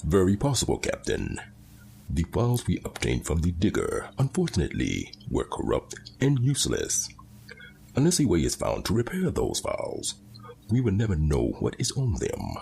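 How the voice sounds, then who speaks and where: A formal rabbit starship officer played by a man with a low, creaky voice that swings widely in pitch. He is logical, precise and deliberate, an audio drama second-in-command. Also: wildly swooping pitch, a little mellow, slight background noise, full character voice. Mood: formal, analytical. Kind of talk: space opera audio drama acting.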